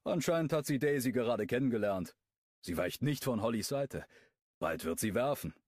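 A man's voice: mid-range voice, expressive, moderate pace, darkish tone, no room sound, clean studio sound, voice pushed up, natural voice. A man voicing an exasperated young man who grumbles about his unruly dog.

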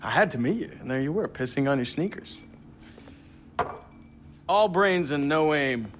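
A man's voice: on the high side, animated, moderate pace, a little hiss or hum, natural voice. A man playing a smug, menacing tech executive in a video game, talking in a condescending, faux-friendly drawl with casual swearing. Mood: smug, toying. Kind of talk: naturalistic game cutscene acting.

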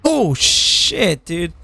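A man's voice: high-pitched, creaky, bright, forceful tone. A man who is under the weather with a scratchy voice, muttering and swearing casually through a flight simulator game. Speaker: gamer streamer. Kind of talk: casual gameplay commentary. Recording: noisy background.

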